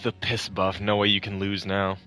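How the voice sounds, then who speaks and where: Bright-voiced man, joking offhand host. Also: lowish voice, completely flat delivery, moderate pace, faint music behind. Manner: joking, offhand, swears casually. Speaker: host playing the game. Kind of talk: casual let's-play commentary.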